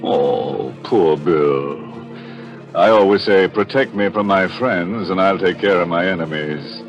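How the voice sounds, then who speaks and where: An actor playing a ghoulish, punning horror host, tongue-in-cheek and mock-sorrowful, in 1940s radio style. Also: male voice, sits low, measured pace. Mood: macabre glee. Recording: dull old radio, faint music.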